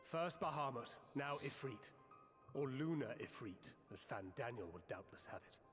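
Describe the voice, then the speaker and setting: A grim, serious male commander from a fantasy game, played by a man, speaks formally and sombrely. His voice is mid-pitched, with music clearly playing behind it. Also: expressive, moderate pace, close to an everyday voice. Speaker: video game voice actor.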